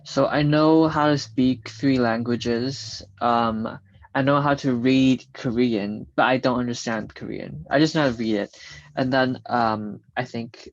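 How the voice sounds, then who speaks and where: A man's voice: medium pitch, steady tone, unhurried, a little hiss or hum. A teenage boy co-hosting a student podcast, talking hesitantly with fillers. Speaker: podcast co-host. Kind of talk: casual podcast chat. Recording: dry, muffled recording.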